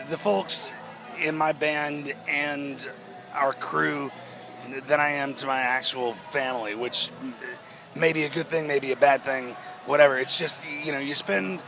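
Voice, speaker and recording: A man in a band answering interview questions at a festival, his voice naturally hoarse, talking in a halting way with many restarts and fillers. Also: fairly high pitch. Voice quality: hoarse-sounding. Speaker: rock band member. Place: outdoors at a music festival.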